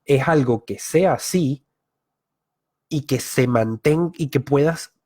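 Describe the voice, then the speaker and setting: A man from Venezuela, a YouTuber giving a live online masterclass, thinking aloud with filler pauses and frequent self-corrections. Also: medium pitch, mellow, no echo, studio quality. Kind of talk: live-streamed talk.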